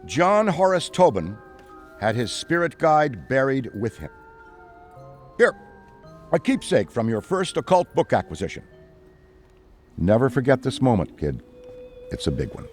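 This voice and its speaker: An actor playing a wordy, occult-obsessed ghost hunter in a video game, speaking in a pedantic, florid, theatrical way. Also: male voice, mid-range voice, big pitch swings, unhurried, pauses often, soft background music. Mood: reverent storytelling. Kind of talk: animated game cutscene acting.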